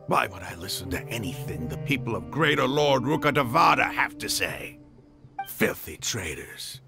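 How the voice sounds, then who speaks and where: An actor playing a proud, hostile desert mercenary leader and fervent believer in a video game, with a gravelly, defiant delivery. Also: male voice, medium pitch, very animated, moderate pace. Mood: scornful. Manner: defiant, theatrical, sneering. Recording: music under the voice.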